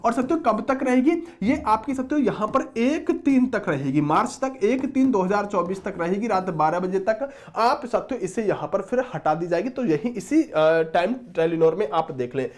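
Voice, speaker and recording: A man's voice: high-pitched, very animated, normal speed, hardly any pauses. A man giving an informal exam update as a teacher, reading out a notice and often addressing viewers as friends. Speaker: exam-prep teacher and presenter.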